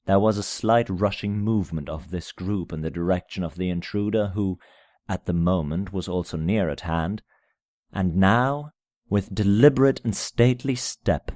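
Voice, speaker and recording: A man narrating a gothic tale as a dramatic reading, in a solemn, measured manner with deliberate phrasing. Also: low-pitched, a little mellow, dry recording, studio quality. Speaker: amateur audiobook narrator.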